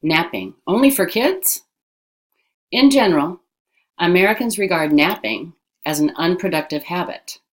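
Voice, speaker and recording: A woman reading an educational text aloud as scripted narration, with careful, deliberate enunciation. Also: fairly low pitch, expressive.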